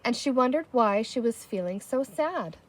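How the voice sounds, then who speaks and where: An expressive woman reads a children's story aloud. Her voice is high and moves with lively, wide intonation as she narrates. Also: normal speed. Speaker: audiobook reader.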